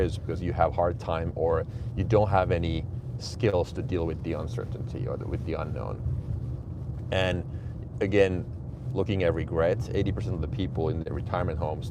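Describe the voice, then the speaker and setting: Deep-voiced Finnish man talking while driving. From Finland. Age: 40s. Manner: candid motivational talk with loose restarts. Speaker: livestream host. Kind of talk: casual live-stream chat, reading chat aloud. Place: car, driving to his office. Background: vehicle noise.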